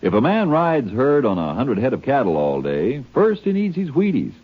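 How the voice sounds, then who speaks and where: A man reading an old-time radio commercial as an emphatic, folksy announcer with a husky voice. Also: medium pitch, huge pitch swings, normal speed. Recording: muffled old radio recording.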